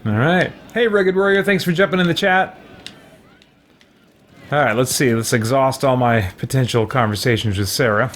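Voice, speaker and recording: A man's voice: medium pitch, normal speed, a bit bright. A man in his thirties giving casual live commentary as he streams a game. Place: small room with bookshelves.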